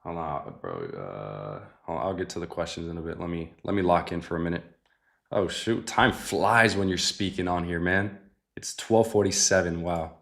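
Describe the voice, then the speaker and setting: A young man with a low, deep voice runs a live trading stream. He talks in a loose, laid-back way to his chat and thinks out loud at his desk mic, often trailing off into a soft mutter. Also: moderate pace, creaky. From Mexican family. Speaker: livestream host trading futures. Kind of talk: casual live trading commentary.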